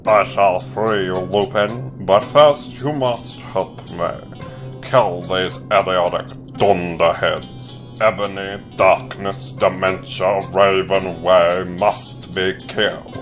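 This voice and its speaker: A man's voice: low-pitched, fairly even intonation, takes it slowly, faint music behind. A man voicing a cold, sneering wizard schemer with a haughty, menacing manner in a cartoon parody. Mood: commanding. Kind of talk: animated parody voice acting.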